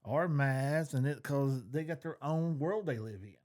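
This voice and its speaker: A man's voice: a little high, unhurried, a little mellow. A man chatting casually as a podcast co-host, opinionated and informal, with the odd swear word. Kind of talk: casual fan discussion.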